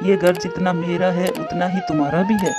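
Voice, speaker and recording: A woman's voice: on the low side, little pitch movement, normal speed, natural voice. A woman voicing a welcoming, reassuring adult brother bird in a cartoon. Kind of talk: Hindi moral-story cartoon dialogue. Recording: dull lo-fi audio under music.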